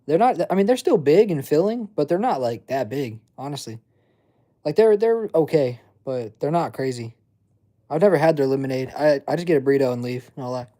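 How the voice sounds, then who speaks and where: A man's voice: on the high side, animated, a little fast, warm tone. A man in his twenties chatting casually on a livestream, reading chat and rambling in loose slang. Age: 20s. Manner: rambling, filler-heavy slang. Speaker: livestream host.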